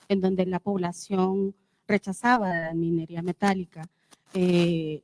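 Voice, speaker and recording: A woman's voice: mid-range voice, darkish tone, no room sound. A woman from El Salvador with a Salvadoran accent, a journalist formally putting a question at a press conference.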